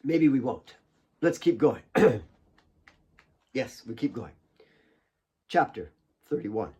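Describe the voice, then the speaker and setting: A man's voice: mid-range voice, warm tone, breathy edge, muffled recording. A man reading his own novel aloud as a dramatic audiobook narration.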